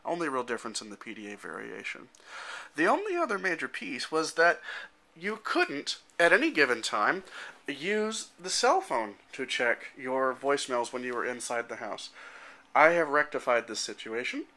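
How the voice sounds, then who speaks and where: Man in his thirties, bright forceful tone, informal explanatory demo narration, matter-of-fact, handheld camera mic in a home room. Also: medium pitch, sing-song, normal speed. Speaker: software developer presenting a demo. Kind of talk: informal product demonstration narration. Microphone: handheld camera microphone.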